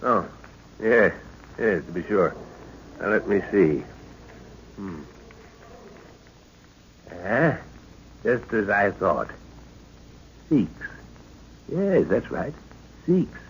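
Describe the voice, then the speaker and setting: A man's voice: lowish voice, expressive, very slow, pauses often, full character voice. An actor playing an older, absent-minded professor and father in an old radio adventure serial, exclaiming and muttering to himself in an avuncular way. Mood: absorbed, muttering. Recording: muffled, noisy old-time radio recording.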